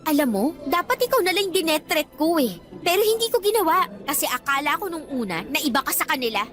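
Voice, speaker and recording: Radio drama casting: spiteful girl of eighteen, very high voice, sneering defiance, light music underneath. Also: sing-song, fast, fairly bright tone. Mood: bitter, defiant. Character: bitter, spiteful eighteen-year-old female former student. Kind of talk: Tagalog radio drama acting.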